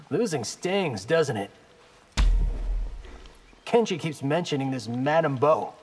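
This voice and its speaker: An actor playing a young, wry, defiant fighter in a fighting game. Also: male voice, fairly high pitch, animated, slow, slight background noise. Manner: sardonic, casual.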